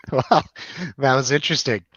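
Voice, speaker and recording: A man hosts a casual review show in a medium-pitched voice, close and dry on a slightly muffled mic. He is jokey and likes a showy announcer flourish between bits of loose banter. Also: a bit bright, no room sound. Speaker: host of a movie review show.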